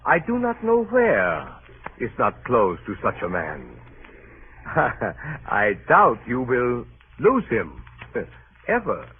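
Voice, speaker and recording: A man playing a shrewd, mocking Dutch port official in a 1930s radio drama, with a Dutch accent and deliberate broken English. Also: medium pitch, wildly swooping pitch, husky, full character voice. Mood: mocking, emphatic. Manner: foreign-sounding broken English, deliberate, sardonically amused. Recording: scratchy tinny 1930s radio broadcast.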